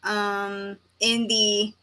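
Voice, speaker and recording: A woman's voice: mid-range voice, little pitch movement, drawn-out words, bright, forceful tone. A woman from Texas, hosting a livestream and casually reading comments aloud. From Texas, United States. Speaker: livestream host.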